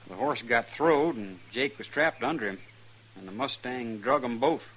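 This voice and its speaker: Forceful, folksy cowboy played by a man, Western drawl. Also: medium pitch, moderate pace, natural voice. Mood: earnest explaining. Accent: American Western drawl. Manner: folksy, earnest. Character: plain-spoken male ranch hand protesting his innocence. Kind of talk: 1950s radio drama acting. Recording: noisy old radio recording.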